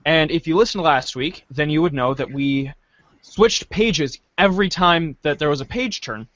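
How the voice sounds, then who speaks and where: A man's voice: a little high, moderate pace, a bit bright, dry recording, dull audio. A man hosting a podcast and narrating a read-aloud in a jovial, theatrical way.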